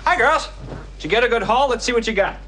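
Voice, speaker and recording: A man's voice: extremely high pitch, expressive, fast, noisy background. A man playing a wry, protective suburban father in a sitcom. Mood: friendly. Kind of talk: sitcom acting before an audience. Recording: loud music and audience behind dialogue.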